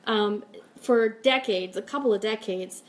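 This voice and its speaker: A woman's voice: mid-range voice, unhurried. A woman hosting a casual live stream, chatting informally and upbeat as she reads out comments and announcements. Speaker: host of a fan radio live stream.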